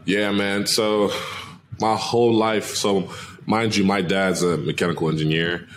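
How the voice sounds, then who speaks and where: An energetic young man from Cameroon, a marketing agency owner, talking casually and upbeat as a podcast guest. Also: low voice, a little slow, a little hiss or hum. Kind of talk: casual interview talk.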